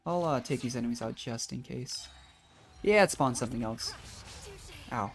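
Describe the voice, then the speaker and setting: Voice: mid-range voice, lively intonation, normal speed. A game streamer thinking aloud in casual, musing commentary while playing. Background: faint game music.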